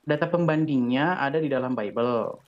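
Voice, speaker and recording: A man's voice: medium pitch, normal speed. A man in a heated online debate, arguing insistently and pressing his case. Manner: argumentative, insistent. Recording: dull lo-fi audio.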